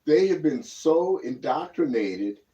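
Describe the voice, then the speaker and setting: A man's voice: fairly high pitch, lively intonation, slow pace, dark tone. A man co-hosting a political talk show, giving casual, emphatic and opinionated commentary in a rambling way, often repeating words. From born in Germany. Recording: muffled lo-fi audio, distant microphone.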